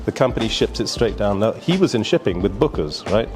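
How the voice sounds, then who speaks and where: A man's voice: on the low side, lively intonation, a little fast, noisy background. Rambling older man telling stories. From Barbados. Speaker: guest, businessman. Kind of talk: casual interview storytelling.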